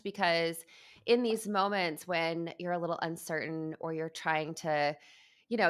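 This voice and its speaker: A woman's voice: lowish voice, a bit bright. A middle-aged woman, a former personal chef, co-hosting a casual cooking podcast and chiming in with easy agreement. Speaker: podcast co-host. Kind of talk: casual chat.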